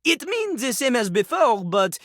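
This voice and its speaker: A man's voice: very high voice, big pitch swings, a little slow, a bit bright, no echo, clean studio sound, heavily acted. A man voicing a vain, boastful French rapper toilet in a video game, with a French accent and a pompous, theatrical way of talking about himself in the third person. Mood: self-satisfied, coy. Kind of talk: video game character acting, bursts of rap.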